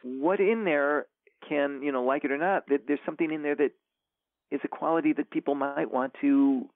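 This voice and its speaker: A man's voice: a little high, normal speed, dry recording. A man, an author guest, chatting casually in an interview, rambling with lots of fillers and restarts. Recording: tinny phone line, dry.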